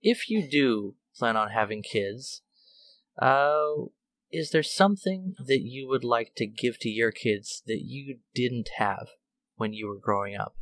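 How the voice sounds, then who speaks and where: Bright-voiced male interviewer. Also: mid-range voice, unhurried, no echo. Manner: empathetic, asks long open questions. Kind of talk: casual interview.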